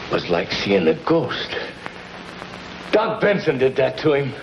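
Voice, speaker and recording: A deferential gangland henchman in a mid-century crime drama. He talks in streetwise slang with an animated, swinging pitch. The old broadcast sound is muffled and clearly noisy. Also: a little slow, heavily acted. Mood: wary.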